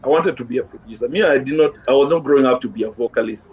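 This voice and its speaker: A man's voice: mid-range voice, rapid-fire. A middle-aged man with a Kenyan accent chatting casually as an interview guest, mixing languages and repeating words for emphasis. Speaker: interview guest, gospel musician. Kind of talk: casual chat, code-switching. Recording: dull lo-fi sound with clear background noise.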